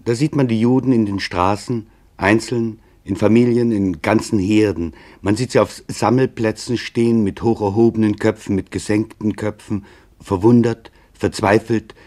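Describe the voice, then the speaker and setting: A man with a fairly low voice narrates a documentary radio play. He reads from a script gravely and deliberately, setting phrases apart with weighted pauses. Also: darkish tone.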